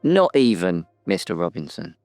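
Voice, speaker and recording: Male actor as tiny fearful storybook man, timid, low-pitched voice, soft music underneath. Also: big pitch swings, normal speed, no room sound. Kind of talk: audio drama acting.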